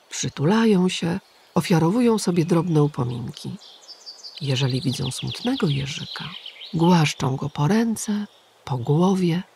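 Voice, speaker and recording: A woman voicing a tired, kind old grey male pigeon who calmly reassures a child in a storybook. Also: low-pitched, creaky, slight background noise, full character voice. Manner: calm, gentle and reassuring.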